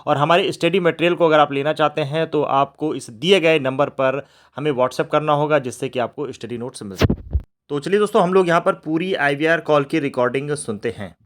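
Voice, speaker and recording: Casting: man, online channel host, quick explanatory patter in a slightly raised voice, informal address. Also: a little high. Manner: explanatory, addresses viewers as friends. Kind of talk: informal video introduction to viewers.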